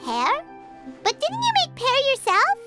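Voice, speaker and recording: A woman voicing a tiny, childlike, excitable and nosy fairy companion in a video game, squeaky and exclamatory. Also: a little slow, loud music behind the voice, full character voice. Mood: puzzled, doubtful. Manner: exclamatory, questioning, refers to self in third person. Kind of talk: video game character dialogue.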